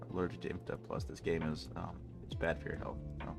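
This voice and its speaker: A man's voice: low-pitched, flat delivery, fast talker, creaky, music in the background. A man with a stuffy nose chatting casually and rambling jokily with his chat while streaming a game. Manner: rambling, jokey, talks to chat.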